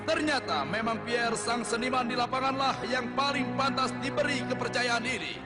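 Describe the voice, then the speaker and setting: A man playing an excited football match commentator in a dubbed cartoon. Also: very high voice, normal speed. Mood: dramatic. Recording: long stadium reverb, music and crowd behind.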